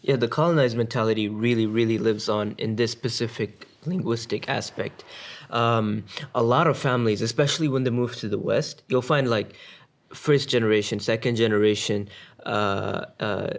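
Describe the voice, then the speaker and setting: A man co-hosting a podcast discussion, explaining his points in a measured, lecture-like way. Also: medium pitch. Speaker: podcast co-host. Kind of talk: casual panel discussion.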